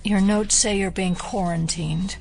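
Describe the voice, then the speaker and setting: A woman's voice: mid-range voice, fairly even intonation, unhurried, gravelly, a little mellow, slight background noise. An American woman playing a composed, concerned FBI agent questioning someone in an audio drama. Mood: concerned, probing.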